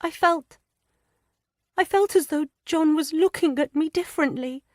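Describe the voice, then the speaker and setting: A woman's voice: dry recording, clean studio sound. A woman voicing Lydia, a tearful young wife. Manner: pleading, stammering, high and breathless. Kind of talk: audiobook character voice.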